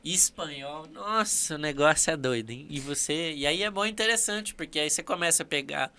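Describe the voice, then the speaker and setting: A Brazilian man, a podcast guest, giving folksy, relaxed advice and often checking in with the listener. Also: a little high, fairly quick, clear, resonant tone. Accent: Brazilian Portuguese. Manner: relaxed, folksy, ends lines with "beleza?". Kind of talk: casual podcast conversation.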